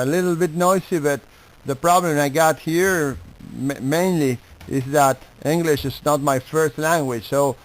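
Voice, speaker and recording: A man with an Argentine Spanish accent chatting on ham radio in polite, halting non-native English. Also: mid-range voice, low-quality recording. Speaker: amateur radio operator. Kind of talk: casual ham radio chat.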